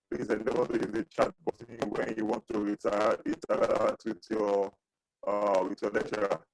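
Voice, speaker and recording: A man in his thirties teaching an accounting class over a video call, repeating himself and pausing often. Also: medium pitch, creaky, low-quality recording. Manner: repetitive, halting, asks for questions. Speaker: accounting lecturer. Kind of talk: online class lecture. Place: small indoor room. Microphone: webcam video call with earphones.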